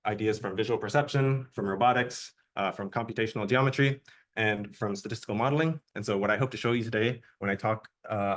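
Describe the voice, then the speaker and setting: A young man from Jamaica giving a relaxed, conversational academic seminar talk as a guest researcher. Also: lowish voice. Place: lecture room.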